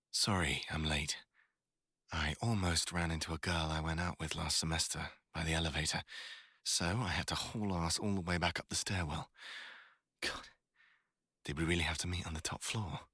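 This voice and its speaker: A man acts out a young college crush with a very low, bright voice that is a little rough at the edges. He talks in a casual, slangy, sweary way, teasing the listener and griping about poetry like a bored student in a boyfriend roleplay. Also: no room sound, studio quality, full character voice. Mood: apologetic, casual. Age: young adult.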